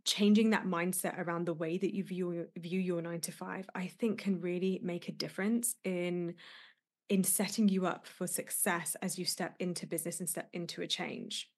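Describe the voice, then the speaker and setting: A woman's voice: fairly low pitch, fairly even intonation, normal speed, darkish tone, slightly rough. A woman hosting a podcast and interviewing a guest, speaking in an encouraging, thoughtful way. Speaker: podcast host, coach.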